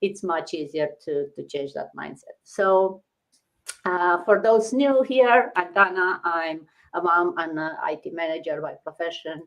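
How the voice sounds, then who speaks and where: A woman from Romania hosting a casual live stream, talking haltingly with many fillers and restarts. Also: on the low side, poor audio.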